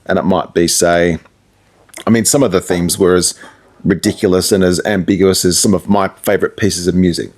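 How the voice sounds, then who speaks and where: A man's voice: deep voice, steady tone, moderate pace, darkish tone. A middle-aged man, a radio presenter, giving casual, conversational advice in an interview.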